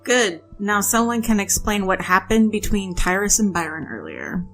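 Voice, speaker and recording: A woman's voice: mid-range voice, very animated, moderate pace, fairly bright tone, soft background music. A woman playing a cheeky, curious young woman in an audio drama.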